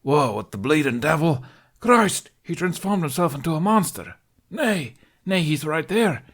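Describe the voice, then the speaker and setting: Man doing a panicked burly villager, pitch leaping. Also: lively intonation, a little slow, a bit bright, heavily acted. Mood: horrified. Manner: panicked, exclaiming. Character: burly, alarmed superstitious Saxon village man. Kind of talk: audiobook character voice.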